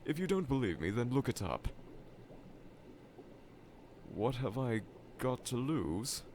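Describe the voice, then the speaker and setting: Evil scientist for audio roleplay: vain, needy, melodramatic, very animated intonation, unhurried delivery, light lab ambience behind. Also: male voice, medium pitch, a little hiss or hum. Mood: hesitant, pensive. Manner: pompous, formal, melodramatic diction. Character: dejected middle-aged male evil scientist, theatrical, vain, needy. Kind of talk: fan audio roleplay monologue addressed to listener. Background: laboratory ambience.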